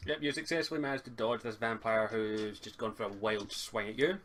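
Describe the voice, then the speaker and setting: A man with a Scottish accent casually narrating as game master, speaking informally and haltingly over a voice chat mic. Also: lowish voice, a bit bright. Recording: home mic with faint noise and clicks.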